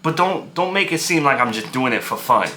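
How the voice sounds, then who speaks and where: A sharp, forceful voice from a man acting as a divorced young father who feels wronged. He is bitter, defensive and agitated, circling back on his own phrases and stumbling as he justifies himself. There is some noise behind him, as in a homemade drama skit. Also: medium pitch, expressive, a little fast. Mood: defensive, pleading. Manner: agitated, repetitive, stammering over his words.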